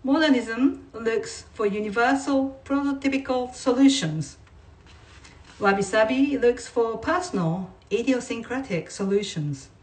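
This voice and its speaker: A woman reading non-fiction aloud in a measured, deliberate way, like a writer presenting a text. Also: a little high. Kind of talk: read-aloud of non-fiction with brief informal asides. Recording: voice distant from the mic.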